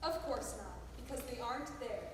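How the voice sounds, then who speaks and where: An actress on stage playing a no-nonsense housekeeper who speaks bluntly and doubtfully. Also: female voice, on the high side, animated, moderate pace, lightly acted. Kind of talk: amateur stage acting. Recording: echoey hall from far off, noisy.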